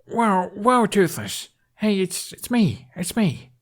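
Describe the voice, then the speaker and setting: A man voicing a playful young man with an excitable, exclaiming manner, in a storybook narration. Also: high voice, takes it slowly, voice pushed up, full character voice. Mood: startled, pleading. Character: playful, exasperated, affectionate young man, dragon's friend.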